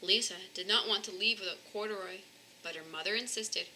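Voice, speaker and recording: A woman's voice: medium pitch, a little fast, background noise. A woman reading a children's book aloud expressively as a storyteller.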